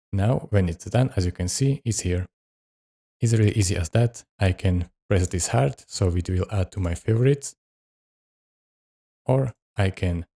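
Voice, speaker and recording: Guy doing a software how-to, really low voice, dark and mellow, walks you through it step by step. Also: creaky. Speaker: tutorial host. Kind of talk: step-by-step software tutorial narration.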